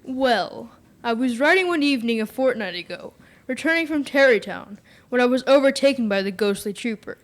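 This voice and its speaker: Boastful young horseman played by a young female, fairly high voice, cocky and challenging, radio drama. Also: lively intonation, a little fast, natural voice. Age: young teen. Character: Brom, boastful fearless young male rider. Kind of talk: stage radio play acting.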